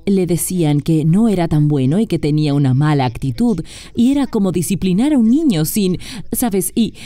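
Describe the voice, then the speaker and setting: A woman's voice: on the low side, animated, moderate pace, warm tone, dry recording. A woman speaking as an interview guest, earnestly and emphatically explaining her points in a dubbed conversation.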